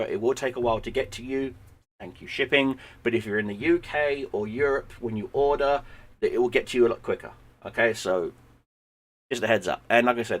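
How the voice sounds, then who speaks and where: A man, hoarse and losing his voice, chatting loosely to viewers at home at the end of a stream, rambling and repeating words. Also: mid-range voice, fairly bright tone. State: losing his voice, sinus infection. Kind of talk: casual stream sign-off chat.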